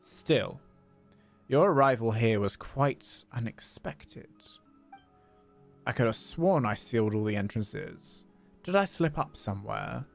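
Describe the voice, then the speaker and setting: A man acts out an aloof male villain from a fantasy game. He talks slowly and eloquently, full of scorn, with a gravelly edge. Faint music sits behind him. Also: medium pitch, lively intonation. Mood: disdainful. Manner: haughty, formal, eloquent.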